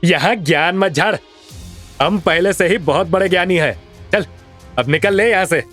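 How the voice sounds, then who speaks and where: A man voicing a rude, scornful nightclub security guard in an audio drama, gruff and sneering. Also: high-pitched, normal speed, a bit bright, faint music behind, pitched above the performer's own voice. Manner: gruff, sneering dismissal in street Hindi.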